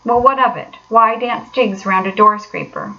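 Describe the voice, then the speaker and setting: Lady doing a grumpy sarcastic mole guy from a kids storybook, whiny and pettish, sharp bright tone. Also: on the high side, normal speed, highs cut off. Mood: grumbling. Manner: plaintive, grumbling, sarcastic. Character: miserable, grumbling, pettish male mole, storybook animal.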